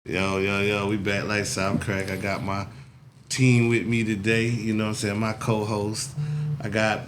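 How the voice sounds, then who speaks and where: Low-voiced guy in his 40s hosting, rambling easy chat, noisy background hiss. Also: level tone, a little slow. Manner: laid-back, rambling, says "you know what I'm saying" often. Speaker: podcast host. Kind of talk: casual chat.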